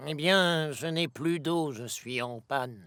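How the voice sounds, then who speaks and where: A man's voice: a little high, slow pace, a bit bright. A man voicing a proud, pompous and blustering express locomotive in a French children's cartoon dub. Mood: grumbling, embarrassed. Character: proud, pompous big male express locomotive, easily humiliated. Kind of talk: children's animated series dub.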